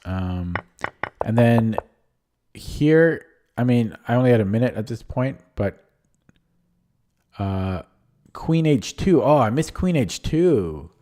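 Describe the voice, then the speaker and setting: Hoarse, soft-toned man thinking aloud. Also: mid-range voice, big pitch swings, slow, pauses often. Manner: thinking aloud, hesitant, self-questioning. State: voice strained from talking. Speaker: chess streamer. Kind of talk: casual live-stream commentary.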